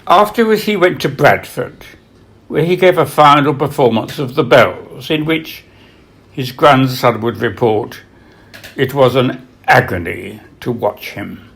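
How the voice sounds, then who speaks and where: A man reading literary biography aloud as its author, in a solemn, measured way with dramatic pauses. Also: medium pitch, lively intonation, vocal fry, a bit bright. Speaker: author reading from own book.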